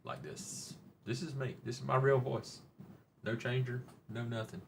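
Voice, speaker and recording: A man's voice: mid-range voice, measured pace, creaky, a little hiss or hum. A middle-aged man, hosting a rambling, joking, mildly profane live-stream chat. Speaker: livestream host.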